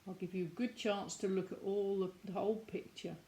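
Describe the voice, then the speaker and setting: A woman reading a picture book aloud to young children, expressive, with questions and asides to her listeners. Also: mid-range voice, brisk, low-quality recording. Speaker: children's story reader.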